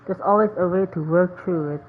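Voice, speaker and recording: A woman's voice: lowish voice, brisk, noisy background. A woman commentating casually as she plays a game, reacting and reading along. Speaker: gameplay commentator. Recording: thin phone-like, band-limited microphone.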